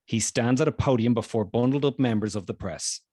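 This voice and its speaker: A man's voice: mid-range voice, brisk, mellow, no room sound, studio quality. A man narrating the stage directions of a screenplay at a table read.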